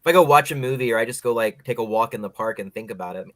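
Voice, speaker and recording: A man's voice: mid-range voice, very fast, dry recording. A man hosting a podcast interview in a casual, rambling, opinionated way, peppering his talk with "like". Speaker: podcast host interviewing guests.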